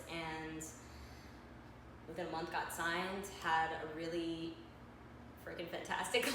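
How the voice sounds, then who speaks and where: A young woman from Manhattan, co-hosting a casual chat, animated and laughing, with lots of fillers. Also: lowish voice, very echoey, noisy background. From Manhattan, New York. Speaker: co-host.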